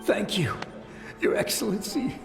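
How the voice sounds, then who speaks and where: A man's voice: high-pitched, very animated, measured pace, vocal fry, exaggerated voice. A man acting a desperate, frightened ghost of a devoted samurai soldier in a video game, strained and urgent. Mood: relieved, reverent. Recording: echoey hall reverb, music behind. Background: game music.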